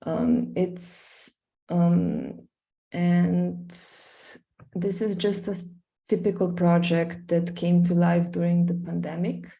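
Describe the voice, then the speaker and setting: A young Slovenian woman talks slowly through her project on a video call, pausing and restarting often. Her voice is gravelly with fry, dark and mellow in tone, and sits a little low for a woman. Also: expressive. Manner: hesitant, many fillers and restarts. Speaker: visual artist presenting her work. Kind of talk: online screen-share presentation.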